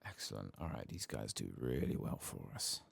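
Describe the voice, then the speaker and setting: Deep, very creaky soft-spoken man. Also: very animated, moderate pace. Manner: soft-spoken, murmuring, partly whispered. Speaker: gaming streamer. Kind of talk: soft-spoken ASMR game playthrough commentary. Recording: faint background noise.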